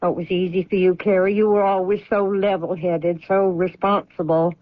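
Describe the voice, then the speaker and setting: A woman's voice: lowish voice, flat delivery, moderate pace, dry recording, narrow, tinny audio, close to an everyday voice. An actress playing a doting, indulgent older mother of grown sons in a 1970s radio drama, with a coaxing, fond manner. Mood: indulgent, persuasive. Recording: thin, band-limited old radio recording.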